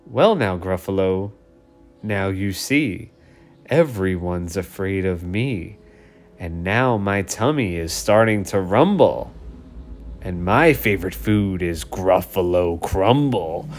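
A storyteller as a cheeky little mouse, lively pitch. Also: slow pace, faint music behind. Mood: boastful. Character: small, clever, boastful brown mouse, cheeky and polite.

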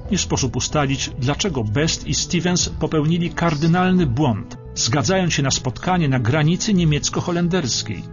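A man's voice: a little high, expressive, normal speed, muffled recording. A man delivering scripted historical documentary narration for radio. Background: clear background music.

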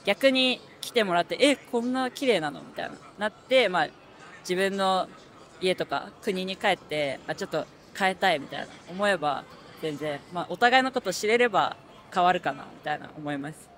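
A woman's voice: on the low side, animated, moderate pace, a bit bright. A young woman in her twenties answers casually and rambles with fillers into a handheld mic on a street. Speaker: interviewee. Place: city street outdoors. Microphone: handheld mic held by interviewer.

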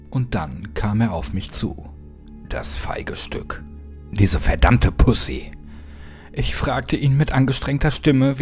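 A man narrating a first-person horror story as a scripted audiobook, with deliberate, dramatic phrasing. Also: fairly low pitch, darkish tone. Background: music.

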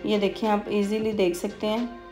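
Woman, medium pitch, flat intonation, hesitant tutorial narration, frequent pauses, music behind. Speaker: beautician giving a hair tutorial. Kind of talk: instructional tutorial voice-over.

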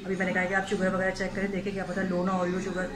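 A woman's voice: on the low side, monotone, very fast. A young woman chatting casually to her viewers in a vlog, often slipping English words into her talk. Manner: chatty, mixes English into Urdu, keeps addressing viewers. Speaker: vlogger. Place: shopping mall, then home kitchen. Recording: noisy handheld vlog recording.

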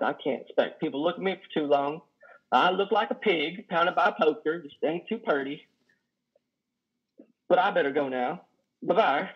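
An actor in his thirties playing a lonely, awkward trucker in a play reading, halting, stammering and folksy. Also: on the high side, vocal fry, breathy edge, exaggerated voice. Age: 30s. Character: lonely, awkward, self-deprecating trucker, plain-spoken and folksy. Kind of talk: play reading over video call. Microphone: headset on a video call. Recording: dull video-call audio.

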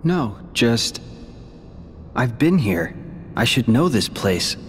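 A young man voicing a calm, earnest hero in a video game, measured and sincere. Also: medium pitch, big-room sound, faint music behind. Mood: earnest, reflective.

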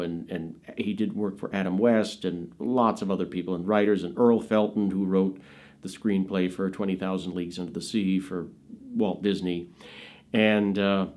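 A man's voice: sits low, a little mellow. An American man in his fifties telling anecdotes in an interview, listing things with emphasis. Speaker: interviewee, actor and filmmaker. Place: indoors, in front of dark curtains.

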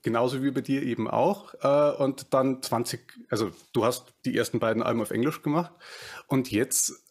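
A man's voice: medium pitch, steady tone, moderate pace. Bavarian guy on a headset video call, hosting, lots of ähms and restarts. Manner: hesitant, many fillers and restarts. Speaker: podcast host. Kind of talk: casual interview chat. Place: home room, video call.

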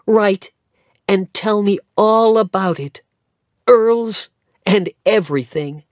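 A man voicing a warm, loyal young working lad in a storybook reading, speaking heartfelt and a little raised. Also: sits high, takes it slowly, no room sound, studio quality, pitched above the performer's own voice.

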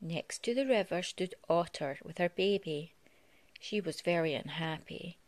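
A woman reads a children's storybook aloud in a slightly low voice. Her delivery is expressive storytelling for a young child, giving the characters' lines some life. The sound is dry, with little room echo. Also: a little slow. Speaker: parent reading a storybook to a child. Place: living room at home.

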